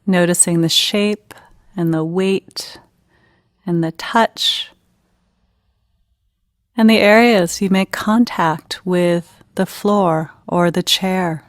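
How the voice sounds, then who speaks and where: A woman leading a guided meditation in an unhurried, gentle, instructional manner with pauses between phrases. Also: on the low side, steady tone, dry recording, clean studio sound.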